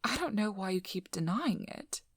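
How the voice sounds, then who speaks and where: A woman playing a sharp-tongued, blunt vampire hunter in an ASMR role-play, speaking softly and breathily to the listener with a dry, probing manner. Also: mid-range voice, expressive, normal speed, dry recording. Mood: dry, scrutinizing.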